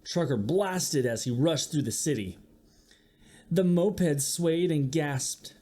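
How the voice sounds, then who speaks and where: A teacher reading a picture book aloud to young listeners, expressively and with chatty asides. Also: mid-range voice. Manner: slow, expressive, with acted-out sound effects. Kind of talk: children's story read-aloud with asides to listeners.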